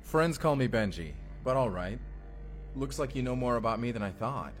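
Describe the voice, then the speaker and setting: A man's voice: mid-range voice, lively intonation, moderate pace, soft background music, close to an everyday voice. A man playing a bewildered, ordinary guy in a science fiction audio drama, casual and full of incredulous questions. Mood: puzzled. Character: Benji, bewildered ordinary young man pulled through time.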